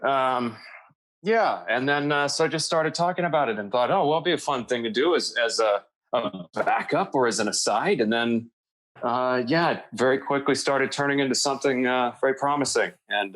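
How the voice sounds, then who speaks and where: Low-voiced man in casual podcast chat. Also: moderate pace, fairly bright tone, no room sound, low-quality recording. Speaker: podcast guest, audio drama creator.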